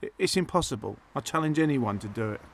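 An actor playing a dim, self-interested, stubborn bloke, obtuse and colloquial, in a dark comedy audio drama. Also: male voice, mid-range voice, animated, fast. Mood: defensive. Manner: obtuse, literal-minded, colloquial.

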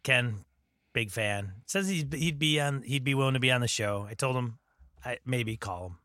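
A man co-hosting a podcast in casual chat, with a raspy voice and dry sarcastic humor. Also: mid-range voice, animated, moderate pace, sharp tone, no room sound. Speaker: podcast co-host.

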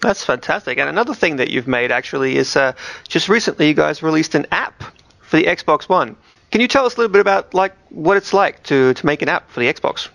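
Male podcast host, fairly high pitch, creaky voice, casual interviewing, dry recording. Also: expressive, fairly quick, a bit bright, no echo.

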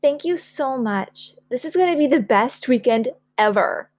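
A woman's voice: mid-range voice, steady tone, moderate pace, creaky, a little mellow, dry recording, clean studio sound. A woman voicing an eager, pleading young girl in a children's storybook. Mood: excited. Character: eager young girl, upset then thrilled.

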